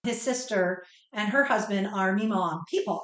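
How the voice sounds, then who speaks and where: A woman's voice: medium pitch, moderate pace, a bit bright. A middle-aged woman chatting casually as a podcast co-host. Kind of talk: casual chat. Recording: roomy, echoing room sound.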